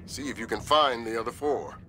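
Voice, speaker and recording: An actor playing a businesslike mission handler briefing an agent in a video game. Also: male voice, mid-range voice, brisk, bright, forceful tone, soft background music, lightly acted. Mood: instructive. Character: businesslike male mission handler advising a spy.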